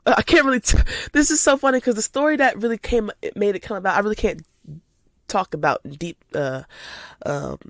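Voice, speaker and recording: An eighteen-year-old woman chats casually and spontaneously as a podcast host, animated and informal, slightly stuffy from a cold. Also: mid-range voice, fairly quick, no room sound. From United States, lives in Georgia. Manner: animated, informal, addresses listeners as y'all. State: recovering from a cold.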